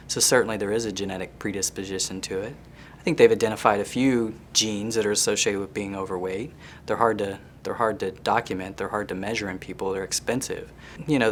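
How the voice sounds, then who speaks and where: A man's voice: fairly low pitch, a little hiss or hum. A man giving informal, conversational explanations in plain words, as an expert being interviewed.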